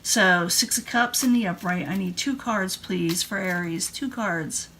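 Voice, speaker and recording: Female tarot reader, medium pitch, voice beginning to tire into hoarseness, halting and direct, faint background noise. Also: a bit bright. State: voice starting to go hoarse, throat clearing. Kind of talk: informal tarot reading narration.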